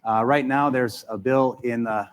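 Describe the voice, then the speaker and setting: A middle-aged man from Hawaii speaks as a mayoral candidate, laying out why he is running. His delivery is folksy and halting, full of small fillers, at an ordinary mid pitch. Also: fairly even intonation. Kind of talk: candidate introduction.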